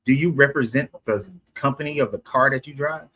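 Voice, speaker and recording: A man's voice: medium pitch, fairly quick, bright, forceful tone, husky. A man from Atlanta debating on the street, explaining at length and correcting himself as he goes. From Atlanta, USA. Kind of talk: casual street conversation.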